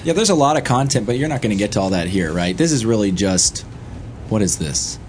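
A man's voice: on the low side, animated, brisk, darkish tone, slight background noise. A man chatting and joking casually as a participant at a live podcast recording in a convention room. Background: crowd chatter.

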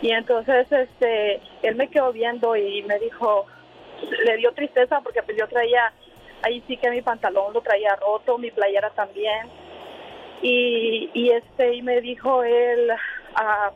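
A woman's voice: on the high side, fairly even intonation, unhurried. Woman on a tinny phone line. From Honduras. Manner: hesitant, filler-heavy telling with quoted dialogue. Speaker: radio call-in listener. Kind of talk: unscripted personal storytelling. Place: in a car while driving. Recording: tinny noisy phone call.

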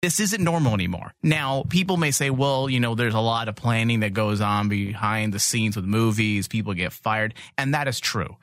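A man's voice: fairly low pitch, normal speed, hardly any pauses, fairly bright tone, dry recording. A man hosting a casual, opinionated podcast, talking bluntly and emphatically with some swearing. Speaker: podcast host.

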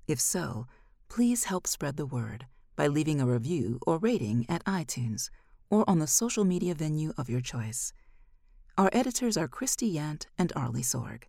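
Low, husky woman narrator, expressive. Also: no room sound, studio quality. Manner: dramatic, measured delivery. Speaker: podcast host and audiobook narrator. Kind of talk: expressive scripted story narration.

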